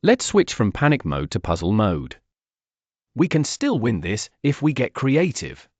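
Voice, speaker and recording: A man's voice: medium pitch, huge pitch swings, a little slow, slightly rough, no echo, exaggerated voice. A man voicing a brainy young female builder who encourages and organizes her team in a storybook read-aloud. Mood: encouraging.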